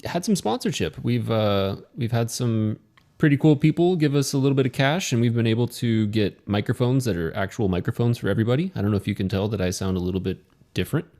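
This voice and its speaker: A man's voice: medium pitch, quick pace, mellow. A man co-hosting a podcast, talking in a casual, conversational style. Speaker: podcast co-host. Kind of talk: casual chat.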